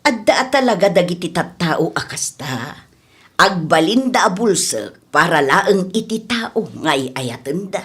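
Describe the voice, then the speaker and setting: Understanding Ilocano mother played by a woman, middle-aged, husky, lively intonation, audio drama. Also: lowish voice, unhurried, exaggerated voice. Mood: musing. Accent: Filipino, Ilocano. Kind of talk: radio-style drama acting.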